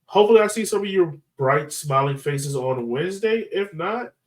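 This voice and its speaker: A man's voice: on the high side, animated, moderate pace. A man hosting a casual sports podcast, talking in a chatty, informal way.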